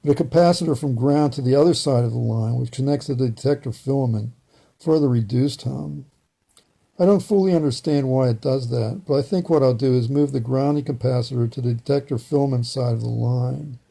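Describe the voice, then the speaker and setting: A man explaining a hands-on technical repair in a methodical, matter-of-fact way, with a slightly husky, gravelly voice. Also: mid-range voice, a little fast, warm tone. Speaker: hobbyist presenter demonstrating radio repair.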